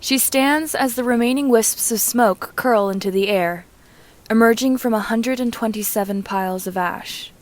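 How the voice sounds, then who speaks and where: A woman reading a story aloud as scripted narration, in a measured, descriptive manner like an audiobook reader. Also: fairly high pitch. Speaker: reader and narrator of fan fiction.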